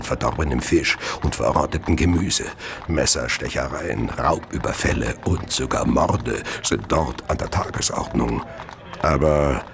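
An actor playing a coldly contemptuous gentleman serial killer in a radio play, speaking in a deliberate, theatrical and sinister way. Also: extremely low pitch, gravelly, noisy background, exaggerated voice. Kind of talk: radio play narration and dialogue.

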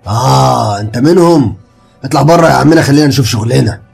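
Brief: male narrator as a rough Egyptian gravedigger, loud and impatient. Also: medium pitch, fast, soft background music, voice pushed up. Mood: gruff.

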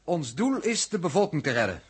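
A man's voice: a little high, sing-song, fairly quick, noisy background. An actor playing a cautious, literal-minded spaceman, hesitant and precise, in a 1960s science-fiction radio drama. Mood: precise, formal.

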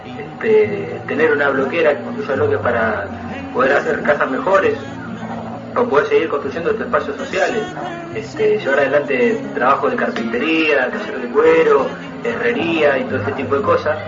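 A man's voice: mid-range voice, animated, normal speed. Echoey, distant Argentine man explaining as activist. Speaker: activist spokesman of a neighbourhood movement. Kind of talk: spontaneous spoken explanation, interview-like. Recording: dull, echoey distant recording with music. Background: music.

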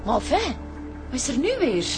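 A woman's voice: sits high, very animated, normal speed, over music. An actress playing an indignant, anxious Flemish married woman in a radio crime drama. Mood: put out.

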